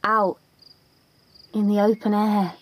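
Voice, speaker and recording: A woman's voice: mid-range voice, level tone, slow pace, husky. A woman playing an eager, naive, starstruck budgie in an audio drama, gushing and wide-eyed. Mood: excited. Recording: music behind the voice.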